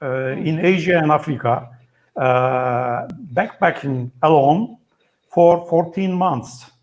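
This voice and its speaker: An older man from Turkey, a native Turkish speaker, talking in measured, halting English as a webinar guest over a video call. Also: a little high, a little mellow, dull audio. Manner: measured, halting, formal phrasing. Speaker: guest, retired army colonel and traveler.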